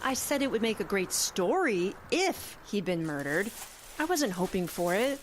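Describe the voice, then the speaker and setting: A woman's voice: mid-range voice, very animated, moderate pace, slight background noise, close to an everyday voice. An actress playing a level-headed radio reporter in an audio drama, answering her grumbling partner with dry patience. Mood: defensive. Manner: dry, patient, mildly teasing. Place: outdoors in the woods.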